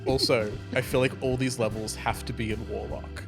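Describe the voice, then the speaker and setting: A man's voice: mid-range voice, steady tone, normal speed. A man talking casually as a player in a tabletop game. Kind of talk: casual rules discussion. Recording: music clearly behind the voice.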